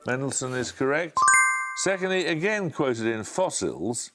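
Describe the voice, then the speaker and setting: A man hosting a televised quiz, reading questions and calling answers in a brisk, authoritative way. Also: mid-range voice, lively intonation, loud music behind the voice. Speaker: quiz show host.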